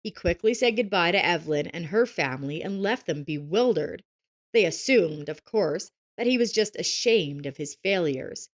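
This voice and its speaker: A woman's voice: lowish voice, lively intonation, normal speed. A woman narrator reading a scripted story.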